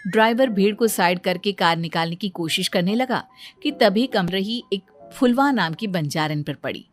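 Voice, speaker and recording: Woman narrator, calm storybook telling, medium pitch. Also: normal speed, soft background music.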